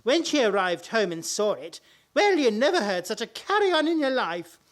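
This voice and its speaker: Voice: medium pitch, sing-song, normal speed, lightly acted. An actor in a comic radio play playing a genial, timid, henpecked older father-in-law, hesitant and a little fussy, with old-fashioned politeness. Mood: confiding. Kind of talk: stage-comedy radio play acting.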